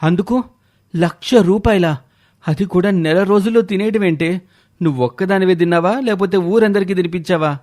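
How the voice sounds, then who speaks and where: Casting: man playing exasperated grown son in a cartoon moral tale, fairly high voice, indignant questioning. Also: moderate pace, a little mellow, close to an everyday voice. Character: exasperated adult son scolding his mother. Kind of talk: animated moral story dialogue.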